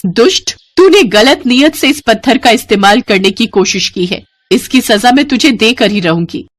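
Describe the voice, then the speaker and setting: A woman voicing a gentle, earnest fairy in a Hindi moral story, speaking formally and solemnly. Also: fairly high pitch, lively intonation, moderate pace. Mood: stern, angry. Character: gentle, grateful female forest fairy granting boons, stern with wrongdoers.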